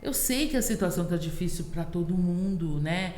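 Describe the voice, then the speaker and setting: A woman's voice: fairly low pitch, lively intonation, moderate pace, a little mellow, a little hiss or hum. A Brazilian woman guest on a podcast, a health worker, talking earnestly and often checking in with "sabe?". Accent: Brazilian Portuguese. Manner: earnest, keeps asking "sabe?". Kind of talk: casual interview chat. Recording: echoey room with long reverb.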